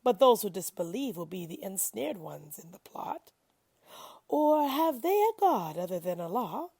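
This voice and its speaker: Woman reading scripture out loud, raspy w some fry, expressive n measured, dry sound. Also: medium pitch, no room sound. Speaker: reader of scripture.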